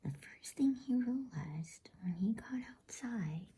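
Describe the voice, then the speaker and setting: A woman reading a bedtime story in a soft, unhurried ASMR whisper. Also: on the high side, expressive, a little hiss or hum. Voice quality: breathy, unvoiced whisper. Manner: soft, slow, unhurried, soothing delivery.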